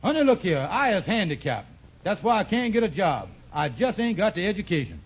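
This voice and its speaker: A man's voice: high-pitched, sing-song, a little fast, breathy edge, full character voice. An actor playing a scheming, blustering husband and con man with poor grammar in an old radio sitcom. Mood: pleading. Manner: blustering, hesitant, ungrammatical. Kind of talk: mid-century radio sitcom acting. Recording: muffled, noisy vintage radio recording.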